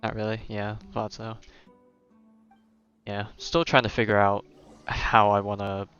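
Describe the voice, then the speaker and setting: A young man with a fairly low voice rambles casually through a live game stream, thinking out loud. His mic sounds a touch dull, and game music plays behind him. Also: flat delivery, measured pace, clear, resonant tone. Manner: rambling, conversational, thinking aloud. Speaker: game livestreamer. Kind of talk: casual live gameplay commentary and chat.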